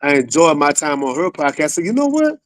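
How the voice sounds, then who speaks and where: A man's voice: on the high side, big pitch swings, fairly quick, fairly bright tone. A man hosting a podcast in a casual, slangy interview style with familiar, hype-man phrasing. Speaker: podcast host.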